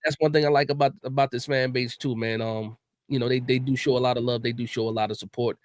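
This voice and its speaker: A man's voice: mid-range voice, fairly even intonation, fast talker, no echo, studio quality. A man hosting a casual sports livestream, laid-back and chatty, often repeating words and tossing in "man.". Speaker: podcast host.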